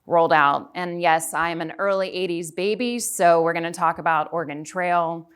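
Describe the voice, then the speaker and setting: A woman's voice: on the low side, normal speed, a bit bright, clear, resonant tone. A woman in her forties from Houston, giving a chatty conference talk and asking the audience questions. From Houston, Texas. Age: 40s. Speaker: conference speaker. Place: conference hall.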